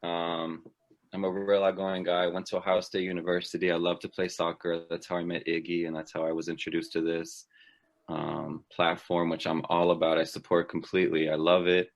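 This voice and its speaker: Casting: upbeat guy in his twenties on a laptop video call, very low voice. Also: completely flat delivery, fairly quick. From Texas. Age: 20s. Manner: upbeat, chatty, with soft laughs. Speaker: guest. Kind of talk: casual video-call chat.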